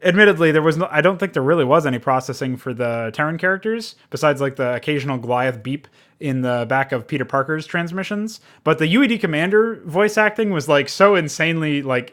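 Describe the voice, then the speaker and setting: A man's voice: a little high, a bit bright. A man giving a casual, unscripted game review, rambling and informal, with frequent swearing.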